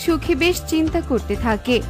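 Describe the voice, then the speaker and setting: A woman's voice: high voice, lively intonation, normal speed. A woman reading scripted narration for a children's cartoon story. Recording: music playing behind the voice.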